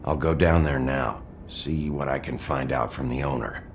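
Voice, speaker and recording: A gruff investigator speaks in an extremely deep voice full of gravel. He keeps his questions terse and low-key, as in a game drama, with ambient noise behind him. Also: fairly even intonation, normal speed. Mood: sober. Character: gruff, terse male investigator following a lead. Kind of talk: dramatic video game acting.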